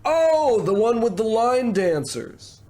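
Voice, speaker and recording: Guy doing a smarmy fast-talking tv producer, pitched way up, super animated, old radio show style. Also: exaggerated voice. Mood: brash, flippant. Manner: fast-patter salesman, gushing pet names. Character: slick, pushy, glib showbiz producer, male. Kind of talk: old-time radio comedy-drama acting.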